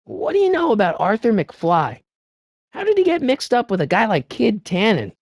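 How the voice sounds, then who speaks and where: American teen adventure hero, high youthful voice, lively swooping pitch, earnest, anxious, hesitant, video game dialogue, dry close sound. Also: male voice, no echo, heavily acted. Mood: curious, casual. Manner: hesitant, stammering, eager, fast-talking persuader. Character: earnest, anxious teenage boy hero of a time-travel adventure.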